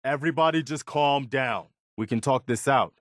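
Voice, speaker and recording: An actor playing an earnest, steadfast but weary militia officer in a video game, sincere and plainspoken. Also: male voice, medium pitch, normal speed, a bit bright, no room sound, studio quality, natural voice. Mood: calming, placating. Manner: sincere, plainspoken, morally upright. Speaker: game companion character.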